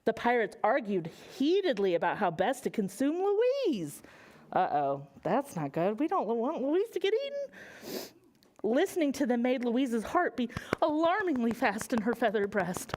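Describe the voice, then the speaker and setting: A woman's voice: on the high side, very animated, unhurried, darkish tone. An American woman reading a picture book aloud to young children, expressively and with questions for them along the way. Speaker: children's library storyteller.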